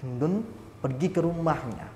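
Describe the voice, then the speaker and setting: A woman telling a religious story to children, animated and speaking directly to her young listeners. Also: sits low, moderate pace. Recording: echoey hall reverb.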